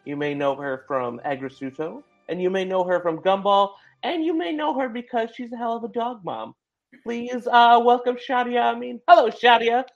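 A man's voice: very high-pitched, normal speed, creaky. A man hosting a podcast interview, asking upbeat, friendly questions. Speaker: podcast host. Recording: dull lo-fi audio with faint music.

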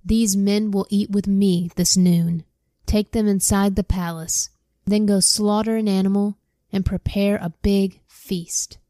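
A woman's voice: medium pitch, steady tone, measured pace, mellow, dry recording, lightly acted. A woman reading the part of a stern, powerful male governor giving orders. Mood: commanding.

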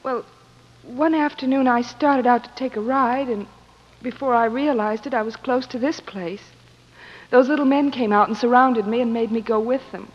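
A woman plays a frightened young captive in an old Western radio play. She pleads in a fairly high, melodramatic voice. The muffled recording rings with reverb. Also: moderate pace, close to an everyday voice. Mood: distressed. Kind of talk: 1950s Western radio acting.

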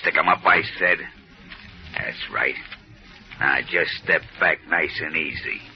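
A gruff masked gunman. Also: a bass voice, steady tone, unhurried, soft background music. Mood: menacing. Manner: gruff, threatening. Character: tough masked male gunman, menacing. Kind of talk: 1940s radio acting. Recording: dull lo-fi old radio recording.

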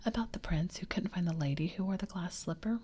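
A woman's voice: on the low side, brisk, mellow, slight background noise, lightly acted. Woman whispering as Peter Pan, a coaxing boy. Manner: eager, coaxing. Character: boastful boy who never grows up.

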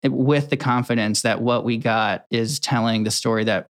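A young man from Connecticut, a video editor chatting casually as the guest of a workplace interview, relaxed and rambling with many ums and restarts. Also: medium pitch, normal speed. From Connecticut, USA.

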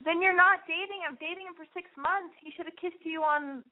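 A woman's voice: very high-pitched, a little fast, narrow, tinny audio. A woman hosting a radio call-in advice show, giving blunt, frank, bossy advice to callers.

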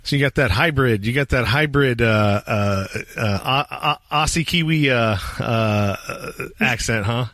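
A man's voice: medium pitch, a little slow, fairly bright tone, no echo. A man hosting a podcast, talking in a loose, rambling, casual way. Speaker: podcast host.

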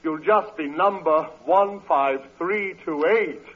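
An actor playing a pompous, scheming older uncle in a 1940s radio comedy, florid in speech and stammering when flustered. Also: male voice, on the high side, takes it slowly. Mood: anxious, persuasive. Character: pompous, scheming old uncle, fussy and evasive. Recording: dull vintage radio recording.

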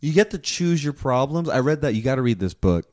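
A man's voice: medium pitch, animated, fairly quick, darkish tone, dry recording, clean studio sound. A man in his twenties chatting casually, rambling and swearing, with lots of fillers. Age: 20s. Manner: rambling, profane, many fillers. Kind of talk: casual chat.

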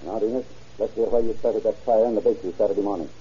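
An actor playing a brisk, authoritative county sheriff with clipped questioning in an old radio crime drama. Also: male voice, lowish voice, fairly even intonation, dark tone, husky. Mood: commanding. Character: brisk, businesslike county sheriff, probing and commanding. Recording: dull, muffled old radio recording.

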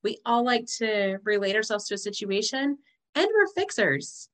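A woman interviewer with a bright, projecting voice that sits a little high. She answers her guest with affirming, reflective comments before she moves on to her next question. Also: sing-song, moderate pace. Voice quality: loud projecting voice. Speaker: interviewer, host. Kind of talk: conversational interview.

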